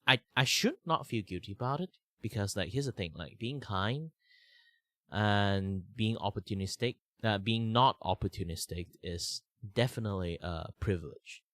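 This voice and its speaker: Young male streamer, deep and slightly sick-sounding, casual rambling talk, dry mic. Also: expressive, moderate pace, sharp tone, no room sound. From Malaysia. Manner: rambling, many fillers and restarts. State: slightly sick, rough throat. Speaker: art streamer.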